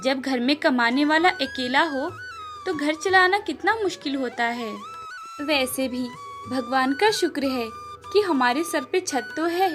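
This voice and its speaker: Woman voicing a gentle young village bride, high-pitched.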